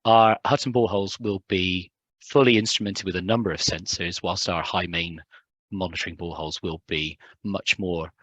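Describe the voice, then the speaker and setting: A young man, a hydrogeologist, giving a conference talk in a hoarse, recovering voice, hesitant with many ums and restarts. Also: low-pitched, fairly even intonation, normal speed, fairly bright tone, no room sound. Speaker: hydrogeologist presenting at a symposium. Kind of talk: conference talk with filler words.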